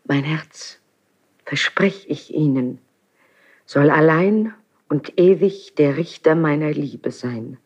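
A woman's voice: low-pitched, expressive, slow, a little mellow, slightly rough, lo-fi sound, exaggerated voice. An actress playing a dignified, anguished, passionate queen in a classical German radio drama, with grave, formal theatrical delivery. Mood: stricken, solemn.

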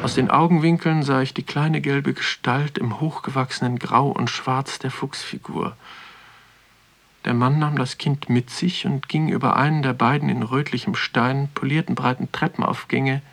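Pensive male narrator, poetic and measured. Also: medium pitch, steady tone, fairly bright tone. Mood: observant, quiet. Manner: contemplative, poetic, measured delivery. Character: pensive male first-person narrator strolling through a natural history museum. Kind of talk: literary radio drama narration.

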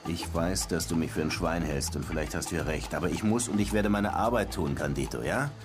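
An actor playing a world-weary, dryly romantic police detective in a radio crime drama. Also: male voice, sits low, brisk, close to an everyday voice. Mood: dry, guarded. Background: music behind the voice.

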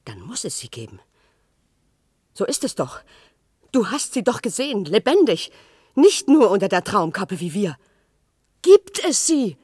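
A woman's voice: mid-range voice, very animated, a little slow, pauses often, a little mellow, slightly rough, dry recording, clean studio sound. An actress playing a timid, fearful worker woman in a German radio play, anxious and emotional in her delivery. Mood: awed, urgent. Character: timid, wondering female cellar worker, obedient and fearful.

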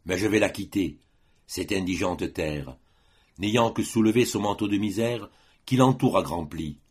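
Deep husky man reciting poetry slowly. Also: darkish tone. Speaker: volunteer audiobook reader. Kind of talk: solemn dramatic poetry recitation.

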